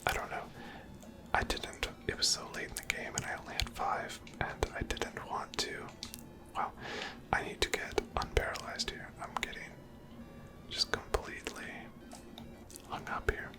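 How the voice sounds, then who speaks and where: A person whispering ASMR gameplay commentary in a breathy, unvoiced voice, gently musing aloud. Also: normal speed. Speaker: video game streamer narrating own playthrough.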